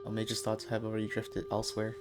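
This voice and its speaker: Male game streamer: casual, quick muttered asides over clear background music. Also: lowish voice, monotone. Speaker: game streamer reacting to cutscenes. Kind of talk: casual live commentary.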